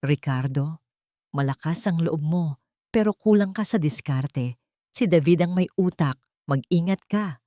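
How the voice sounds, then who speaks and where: Audio-story patriarch played by a woman: stern Filipino father, belittling, deliberate, pitched low, dry sound. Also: warm tone, no room sound. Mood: stern disapproval. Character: stern, belittling father lecturing his grown son.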